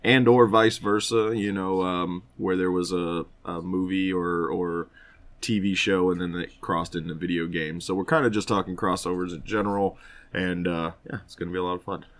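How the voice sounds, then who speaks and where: Lower-voiced man in casual podcast banter. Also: steady tone, moderate pace. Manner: informal, jokey, opinionated. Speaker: podcast co-host. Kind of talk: casual chat about films and games.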